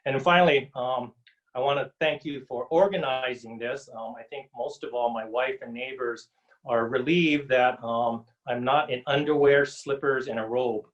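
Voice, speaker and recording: A middle-aged man from Samoa giving a slow, deliberate webinar talk from home, with many pauses and ums. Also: mid-range voice, fairly even intonation. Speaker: guest speaker. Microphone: video call. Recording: dull lo-fi video call audio.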